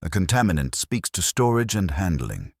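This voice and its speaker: A man voicing a grave, imperious male master detective in an audiobook, formal and deliberate in his pronouncements. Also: sits low, very animated, no room sound, studio quality, exaggerated voice. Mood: pedantic, deliberate. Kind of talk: audiobook character dialogue.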